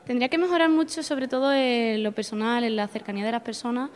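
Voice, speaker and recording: Smooth-voiced young woman. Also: fairly high pitch, steady tone, fast talker, darkish tone. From Spain. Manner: friendly, a bit hesitant, repeats words. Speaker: exchange student guest. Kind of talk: answering interview questions.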